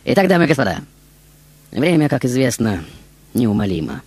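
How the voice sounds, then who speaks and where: A man playing an aged, reclusive female film star who recounts her life in a deliberate, declamatory stage monologue. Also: medium pitch, sing-song, darkish tone. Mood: solemn, wry. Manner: declamatory, deliberate, addressing the audience. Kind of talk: theatrical monologue, stage storytelling.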